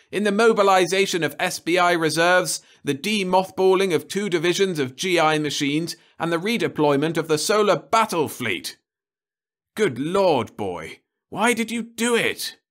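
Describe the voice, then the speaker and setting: A man's voice: high voice, animated, moderate pace, a bit bright, voice pushed up. A man voicing a blustering older male official who thunders indignant questions.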